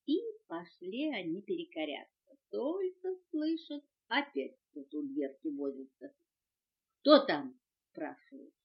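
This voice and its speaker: An older woman reading a children's tale in an expressive storytelling style. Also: lowish voice, a little slow, few pauses. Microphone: home cassette recorder. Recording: muffled home cassette recording.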